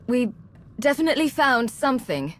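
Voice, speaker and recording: A woman playing a guarded, pragmatic military commander in a video game, measured and matter-of-fact. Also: sits high, sing-song. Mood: brisk. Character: guarded, pragmatic female agent and commander, self-reliant.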